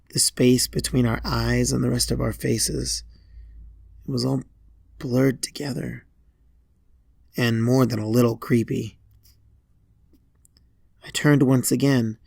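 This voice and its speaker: A man reading a scripted first-person horror story in a deliberate way, pausing between short phrases. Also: medium pitch, gravelly, darkish tone, no room sound, studio quality. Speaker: fan fiction narrator.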